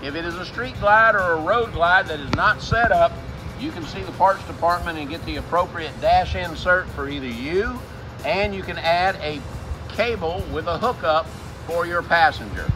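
A man's voice: a little high, moderate pace, over music. A man in his fifties presenting a plain-spoken, step-by-step how-to as a service advisor, recorded outdoors. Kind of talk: instructional how-to demonstration. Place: outdoors in a dealership parking lot.

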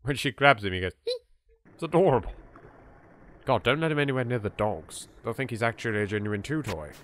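Animated, bright-voiced English gamer. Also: male voice, mid-range voice, quick pace, a little hiss or hum. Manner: jokey, rambling, exclaiming. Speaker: gaming host. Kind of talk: improvised let's play commentary.

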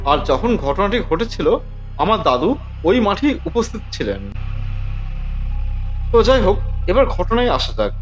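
A man hosts a storytelling podcast. His voice sits high and moves up and down a great deal as he narrates dramatically. Faint music plays underneath. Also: big pitch swings, measured pace, pauses often.

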